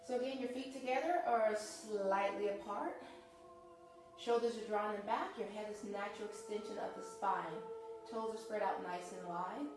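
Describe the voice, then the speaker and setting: A woman's voice: medium pitch, normal speed, reverberant, over music, poor audio. A woman yoga instructor guiding a live class through breathing and poses in a conversational, encouraging way, sniffling now and then after nose surgery. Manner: encouraging, conversational with frequent okays.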